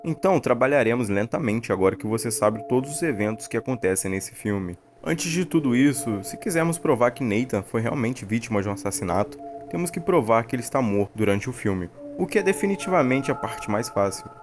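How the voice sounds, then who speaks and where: A man's voice: mid-range voice, expressive, brisk, hardly any pauses. A man with a Brazilian accent giving scripted video-essay narration and analysis. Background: background music.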